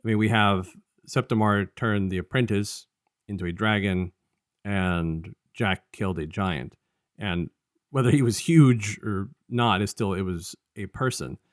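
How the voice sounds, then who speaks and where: A man with a fairly low voice talks as a podcast co-host in a dry recording. He retells a story casually and ramblingly, dropping into colloquial versions of the dialogue as he goes. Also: unhurried, no room sound. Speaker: podcast co-host reviewing an audio drama. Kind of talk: casual conversational story recap.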